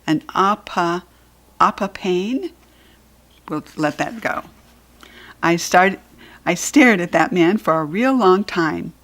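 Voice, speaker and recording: A woman with an American accent narrating a children's storybook expressively, with animated, dramatic emphasis. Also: on the low side, takes it slowly, pauses often. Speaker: storybook reader.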